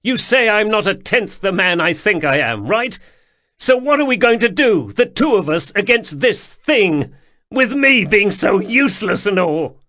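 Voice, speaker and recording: A man voicing a high, strained young nobleman. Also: very animated, dry recording, clean studio sound. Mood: shouting, tearful. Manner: pleading, quick to break into shouting. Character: desperate young noble man, anxious and proud.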